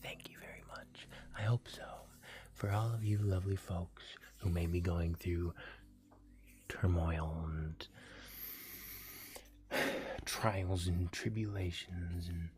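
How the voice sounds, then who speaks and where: A man hosting a livestream, whispering gently and offering soft, comforting affirmations in an ASMR style. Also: low voice. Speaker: livestream host.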